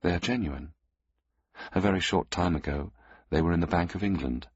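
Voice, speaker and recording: A man's voice: low voice, normal speed, husky, no echo, muffled recording. A man voicing a cool, commanding woman collector. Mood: cool, businesslike. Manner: calm and curt.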